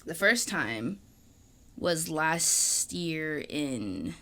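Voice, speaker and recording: A woman's voice: low-pitched, big pitch swings, very slow, bright, forceful tone, a little hiss or hum. A young woman co-hosting a podcast, rambling in casual chat with lots of fillers and swearing. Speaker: podcast co-host.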